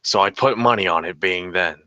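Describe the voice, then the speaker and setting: A grudging, jumpy criminal informant, played by a man at a table read. His voice sits a little low with a very bright, tense edge. He is defensive and incredulous, and the recording is close and dry. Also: brisk, clean tone, no room sound, lo-fi sound. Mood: grudging, knowing. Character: jumpy, grudging male underworld informant, the Hatter. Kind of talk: scripted table read, crime drama.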